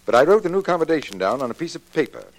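An actor playing a courteous, trusting business boss in 1940s radio drama, with a polite, hesitant manner. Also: male voice, fairly high pitch, big pitch swings, rapid-fire, poor audio. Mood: businesslike.

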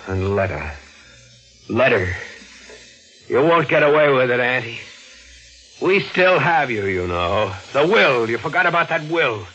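A man's voice: medium pitch, big pitch swings, a little slow, pauses often, a bit bright, highs cut off. An actor playing a cold-blooded, scheming young man in a 1940s radio mystery, insistent and clipped. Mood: gloating. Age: young adult. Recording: vintage radio recording with organ music.

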